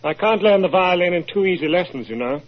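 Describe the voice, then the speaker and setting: Mild, touchy houseguest, highish voice, dull lo-fi 1940s radio sound. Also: male voice, animated, fast talker. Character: mild, wounded brother-in-law, amateur violinist houseguest. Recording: muffled lo-fi 1940s radio recording.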